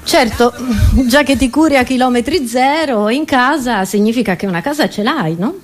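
A woman's voice: on the high side, expressive, normal speed, soft background music. A woman comedian with an Italian accent delivers a satirical monologue as a radio host, conversational and ironic, tossing in rhetorical questions.

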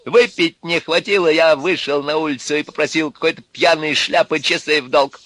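A man doing a loud, coarse petty crook. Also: fairly high pitch, steady tone, normal speed, no room sound, pitched above the performer's own voice. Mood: defiant. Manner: rough slang, bullying, shouting.

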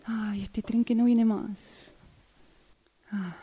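A woman's voice: a little high, steady tone, very slow, warm tone, slightly rough, full character voice. A woman acting in amateur radio theatre as a weary working woman waiting for a train. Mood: weary, pensive.

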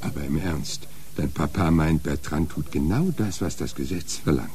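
An actor playing a genial old judge, an avuncular friend of the family, in a radio play. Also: male voice, rumbling low, normal speed, dark tone. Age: older.

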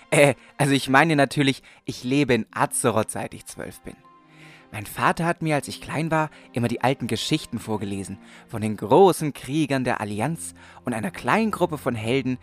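A man's voice: medium pitch, natural voice. A man playing an earnest but cheeky sixteen-year-old aspiring warrior in a comedic fantasy audio play. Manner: earnest storytelling, slangy when challenged.

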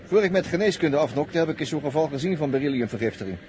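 A man's voice: fairly high pitch, animated, fast, a little mellow, a little hiss or hum, natural voice. An actor playing a casual, wry crewman at the wheel, talking colloquially in an old radio drama. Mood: curious. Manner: colloquial, flippant. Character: casual, wry male crew driver, former medical student. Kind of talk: 1960s radio drama acting.

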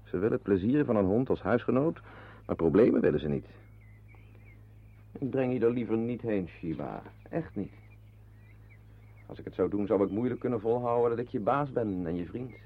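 Low, husky, brooding husband. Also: male voice, expressive, normal speed, long pauses. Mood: coaxing. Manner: confiding, musing, rhetorical questions to the listener. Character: wry, brooding henpecked husband, animal-loving former artist. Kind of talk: Dutch radio play monologue addressed to the listener. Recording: muffled, band-limited old radio play recording.

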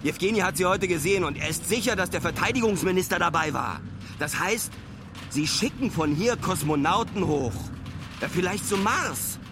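Restless male traveller, radio-play diary, higher voice, informal musing, noisy outdoor ambience. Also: animated, normal speed. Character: restless young male traveller keeping a space-watching audio diary.

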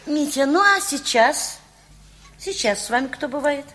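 Anxious, prying mother, swooping high voice. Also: animated, measured pace, a bit bright. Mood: probing, coaxing. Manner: coaxing, reproachful, insistent questioning. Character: anxious, prying middle-aged mother of a school-leaver son. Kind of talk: Russian radio play acting.

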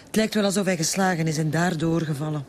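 An actress playing a sober Flemish doctor, clinical and slightly hesitant, in a radio drama. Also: female voice, low voice, fast talker, darkish tone, slight background noise, natural voice. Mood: grave. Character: sober female doctor reporting findings.

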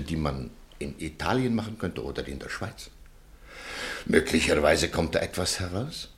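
A smooth, quietly persuasive extortioner in a radio play; very low voice. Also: male voice. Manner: soft-spoken, coaxing, measured. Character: smooth, calm male extortioner named Walter. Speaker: radio drama character.

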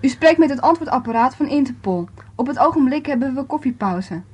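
Woman doing polite answering machine for amateur radio play: high, recited, indifferent, noisy tape. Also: lively intonation, brisk. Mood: formal, indifferent. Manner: formal, recited. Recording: noisy home-made tape.

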